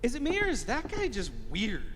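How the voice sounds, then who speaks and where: A man acting in a live radio comedy drama as an awkward, halting middle-aged son who stammers as he talks. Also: extremely high pitch, huge pitch swings, a bit bright, exaggerated voice. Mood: chatty, hesitant. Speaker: actor in a live radio play. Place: large hall, live stage show. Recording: live hall reverb, faint background noise.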